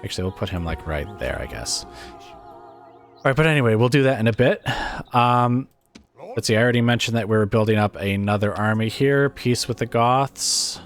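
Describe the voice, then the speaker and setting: A man with a very deep voice, roughened and raspy from an illness, talks through his strategy game. He muses aloud, pausing on fillers as he weighs each move, while soft game music plays faintly behind him. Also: expressive. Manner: musing, hesitant, thinks aloud with fillers. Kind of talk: casual gameplay commentary.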